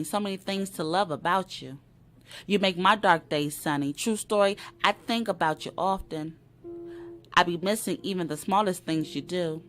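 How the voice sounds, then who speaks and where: A woman's voice: lowish voice, a bit bright. A woman giving a dramatic reading of poetry. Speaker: poetry reader. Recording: live music under the voice. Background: plucked guitar music.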